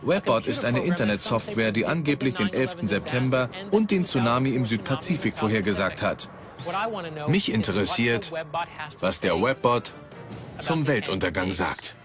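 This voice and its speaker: A man's voice: mid-range voice, very animated, normal speed, natural voice. A man delivering scripted documentary narration as a presenter. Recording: music under the voice.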